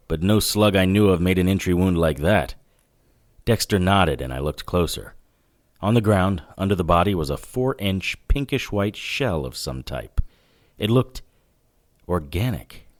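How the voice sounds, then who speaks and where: Deep-voiced, wry hard-boiled detective. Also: male voice. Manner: sardonic, wisecracking. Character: wry, hard-boiled 1940s male occult detective narrator. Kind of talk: noir audio drama narration and dialogue.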